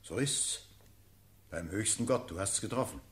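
A man's voice: fairly low pitch, expressive, moderate pace, lightly acted. An actor playing a loyal officer who reports to his lord in earnest, formal verse, in a classical radio drama. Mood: grave, urgent.

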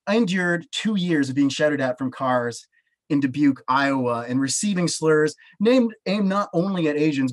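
Brief: Canadian man, 30s, emphatic testimony over a webcam, a bit higher-pitched than most men. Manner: earnest, emphatic, gestures with both hands. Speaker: panelist, professor. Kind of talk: personal testimony on a video call. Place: small home office with bookshelves. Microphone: webcam on a video call.

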